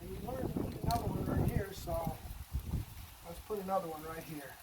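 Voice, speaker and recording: A man's voice: high-pitched, brisk, very noisy. A man hosting a casual hands-on how-to vlog, enthusiastic and folksy, talking while he works outdoors. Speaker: host of a DIY Jeep project channel. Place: outdoors beside a vehicle, windy.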